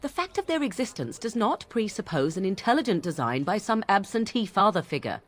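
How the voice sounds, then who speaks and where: A woman's voice: mid-range voice, sing-song, brisk. An actress playing a cynical young witch of the wilds, formal, disdainful and drily sarcastic, in a fantasy game. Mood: scornful. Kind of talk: dramatic game dialogue.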